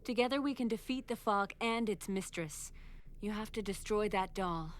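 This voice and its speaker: A woman's voice: medium pitch, normal speed, close to an everyday voice. A woman playing a tender, determined young sister in a dramatic fantasy adventure game. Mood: grave, determined. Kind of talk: dramatic video game acting.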